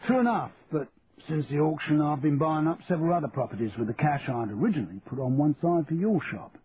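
A man's voice: on the high side, animated, fast talker, pitched above the performer's own voice, lightly acted. A man voicing a pompous, easily affronted middle-aged male auctioneer in a stiff, formal manner, for an audiobook. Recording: tinny phone-like sound with faint hiss.

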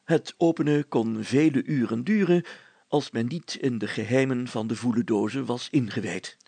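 Wanted: a man to play a pompous, husky-voiced storybook mayor, older, fussy, hesitant and stammering, recorded close and dry. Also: medium pitch, moderate pace, warm tone, no room sound. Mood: lecturing. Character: fussy, flustered, pompous older male mayor reading aloud. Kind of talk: children's audio story character voice.

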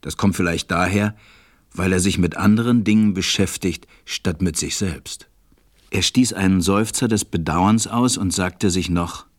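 A man's voice: sits low, a little slow, no room sound, clean studio sound. A man narrating a storybook audio drama from a script.

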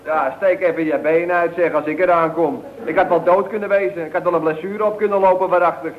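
An actor playing a cheeky, aggrieved young troublemaker in a comic radio play, talking casually and protesting. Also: male voice, a little high, fairly even intonation, a little fast, natural voice. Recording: tinny old radio, crowd sound behind.

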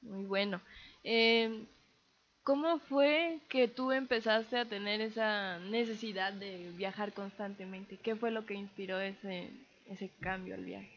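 A woman's voice: medium pitch, takes it slowly, dull audio. A woman hosting a casual radio interview, chatty and friendly in her questions. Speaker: radio host.

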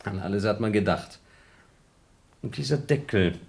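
A cynical, restless astronaut in a radio play, dry and needling, low-ish voice. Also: male voice, expressive, moderate pace, gravelly, a little mellow. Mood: ironic. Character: cynical, restless male astronaut trapped in a station.

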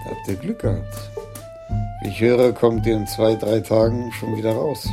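An actor playing an authoritative police commissioner in a radio crime drama, speaking in a measured, commanding way. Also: male voice, on the low side, fairly even intonation, creaky, over music. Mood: calm, shrewd.

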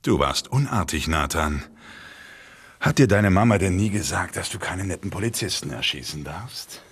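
An actor playing a menacing stalker who taunts with a slow, mocking drawl in a German radio drama. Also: male voice, low voice, expressive, slight background noise. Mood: mocking menace.

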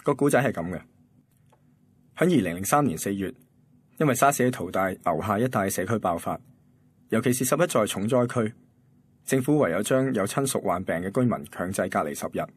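A man's voice: on the low side, lively intonation, fairly quick, husky, no echo. An actor playing an easygoing, reflective young man from a radio drama, with a Hong Kong accent. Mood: reflective, narrating. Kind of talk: radio drama dialogue and narration.

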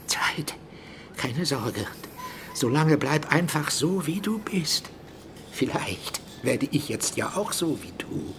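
Bitter old scientist in a radio drama, very animated, agitated then breathy, noise behind him. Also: male voice, mid-range voice. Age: older. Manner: agitated, swinging between outbursts and breathy murmurs. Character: frail, obsessive old male professor, dried-up and bitter.

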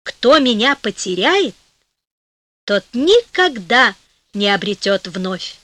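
A woman's voice: mid-range voice, very animated, takes it slowly, pushed and bright, no echo, full character voice. A woman voicing a dignified, scrupulous noble lady spirit in a storybook, speaking courteously and formally. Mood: solemn.

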